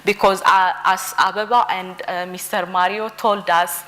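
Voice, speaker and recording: A woman's voice: lowish voice, flat delivery, unhurried, fairly bright tone. A woman speaking at a conference about her project, hesitant, with many fillers and restarts. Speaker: project representative. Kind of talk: conference speech.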